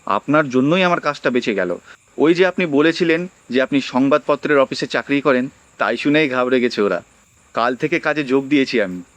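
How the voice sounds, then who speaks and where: A humble young delivery boy voiced by a man for an audio story. He sounds earnest and deferential, polite to the point of shyness. His mid-pitched voice is recorded close and dry. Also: animated, fairly quick, a bit bright, no echo, close to an everyday voice. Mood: humble, grateful. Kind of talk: audio horror story acting.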